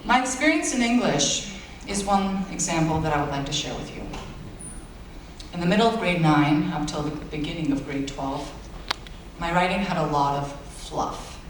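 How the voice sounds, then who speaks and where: A late-teen young man whose first language is Bulgarian delivers his valedictorian speech, earnest and self-deprecating with playful asides. His voice booms around a big reverberant hall, picked up from far back by an audience camera, with a faint hum underneath. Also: moderate pace. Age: late teens. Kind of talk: graduation speech. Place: large school auditorium. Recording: echoey hall recorded from far off.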